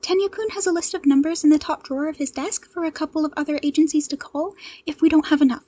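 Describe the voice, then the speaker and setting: Woman acting a high, urgent young female superhero. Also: quick pace, dark tone, no echo, lightly acted. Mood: flustered, determined.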